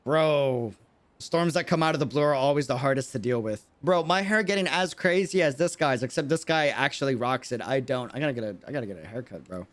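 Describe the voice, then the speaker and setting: A man's voice: medium pitch, brisk. A man giving casual livestream game commentary, earnest and slangy, often repeating himself for emphasis. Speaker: streamer.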